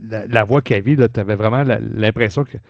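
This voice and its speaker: A man's voice: lowish voice, a little fast, gravelly, dry recording, lo-fi sound. A man with a Quebec French accent hosting a casual review chat in an informal, colloquial way. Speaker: podcast host. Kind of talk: casual chat, book and audio drama review.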